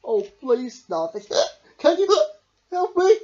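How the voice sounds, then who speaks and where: A woman's voice: high voice, very animated, takes it slowly, a little mellow, highs cut off, pitched above the performer's own voice, exaggerated voice. A woman voicing a young cartoonish storybook shark who hiccups and gasps through the words. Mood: pleading. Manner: gasping hiccups breaking up the words.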